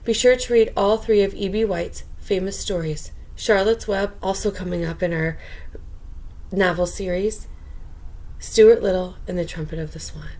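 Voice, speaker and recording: A woman reading a children's book aloud as a bedtime storyteller, expressive, with chatty asides to young listeners. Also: medium pitch, normal speed, poor audio.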